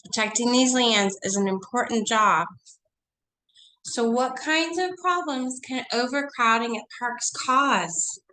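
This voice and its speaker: A woman's voice: on the high side, takes it slowly, sharp tone, rough recording. Teacher, female, congested, losing her voice; chatty online read-along with a faint hiss behind. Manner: chatty, coaxing, asks the class questions. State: nasal from a cold, losing her voice.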